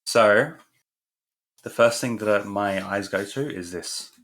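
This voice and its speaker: Deep-voiced man critiquing art. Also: slow, fairly bright tone. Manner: easygoing, hedging with "like" and "kind of".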